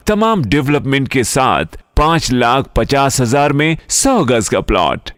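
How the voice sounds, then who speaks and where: A man reading a scripted promotional voice-over for an advertisement. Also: mid-range voice.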